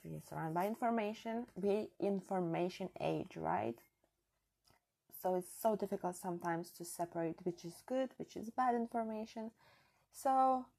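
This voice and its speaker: A woman's voice: medium pitch, creaky, darkish tone. A woman from Europe speaking English as a second language with a non-native accent, hosting her own podcast in an earnest, chatty, reflective solo talk on a built-in device mic. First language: not English. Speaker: podcast host. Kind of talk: casual solo podcast monologue. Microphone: built-in device mic, no proper microphone.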